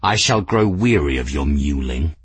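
A man's voice: extremely low pitch, expressive, moderate pace, breathy edge, dry recording, pitched below the performer's own voice, heavily acted. A man voicing a proud, fierce male cat prince in a fantasy audiobook, speaking in a formal, coldly menacing oratorical way. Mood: cold menace.

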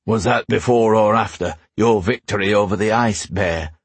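Storybook armoured knight, voiced by a man: gruff, deep-set, very raspy, dry and honourable. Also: moderate pace, dry recording. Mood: dry, bantering. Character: gruff armoured male knight, loyal, dry-witted lover.

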